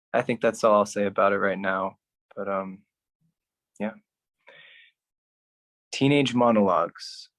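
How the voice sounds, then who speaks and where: A man performing his own writing as a spoken-word solo artist, speaking deliberately with pauses. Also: lowish voice, a bit bright. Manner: slow, deliberate delivery with pauses.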